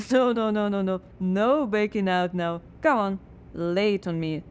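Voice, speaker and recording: An actress playing a blocked woman playwright who answers with wry, deadpan sarcasm in a radio drama. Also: mid-range voice, measured pace, smooth, natural voice. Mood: sardonic. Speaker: writer.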